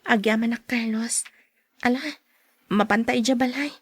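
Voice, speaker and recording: Radio drama ingenue played by a woman: polite, modest Ilocano daughter, very high voice. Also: fairly even intonation, moderate pace, slight background noise, close to an everyday voice. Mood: earnest, subdued. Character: polite, modest young working daughter, shyly courted.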